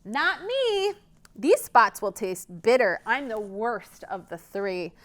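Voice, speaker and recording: A woman's voice: a little high, very animated, takes it slowly. A woman voicing a wary middle-brother male billy goat in a children's storybook, protesting and bargaining.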